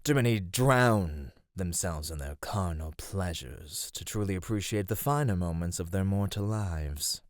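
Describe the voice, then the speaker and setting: A man playing a flirty, amused god of radiance in an ASMR roleplay, teasing and theatrical, with soft laughs. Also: low voice, animated, a little slow, few pauses, no echo, studio quality. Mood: musing.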